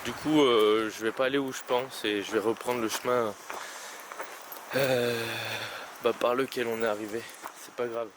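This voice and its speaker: Young male outdoor vlogger, casual and halting, mid pitch, heavy wind noise on camera mic. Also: steady tone. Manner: rambling, many hesitations and restarts. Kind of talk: casual vlog talk while hiking. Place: outdoors on mountain trails in wind.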